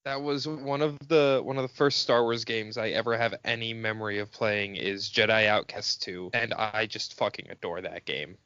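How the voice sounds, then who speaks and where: A man's voice: fairly low pitch, fairly even intonation, normal speed, few pauses, bright, forceful tone, clear, resonant tone, dry recording, lo-fi sound. A man chatting casually as a podcast co-host, swearing and being playfully melodramatic. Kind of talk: casual fan podcast chat.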